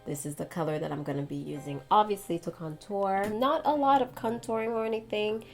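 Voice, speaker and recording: A woman's voice: mid-range voice, brisk, soft background music. A woman giving a casual makeup tutorial in a chatty, playful, slangy way. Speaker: beauty vlogger.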